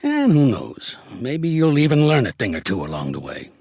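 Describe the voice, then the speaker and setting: An actor voicing a shrewd, gruff old American mobster for a video game, streetwise and blunt, with a gravelly, husky voice. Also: male voice, mid-range voice, huge pitch swings, normal speed, a little mellow, dry recording, clean studio sound, full character voice. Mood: businesslike. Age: older. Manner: streetwise, commanding, blunt, slangy. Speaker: mobster mentor and boxing coach. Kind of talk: video game character acting.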